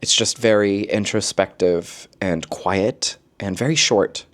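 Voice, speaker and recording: Man, low voice, casual vlog chat, expressive, playful asides, slangy phrasing. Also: takes it slowly. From lives in Korea, origin unstated. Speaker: book vlogger. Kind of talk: casual chat, book recommendations to camera.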